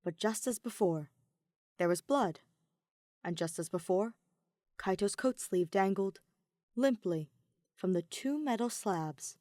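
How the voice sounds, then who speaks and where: Female audiobook narrator for dramatic fan fiction; medium pitch, dry studio-like sound. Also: expressive, slow, long pauses, a little mellow, no room sound.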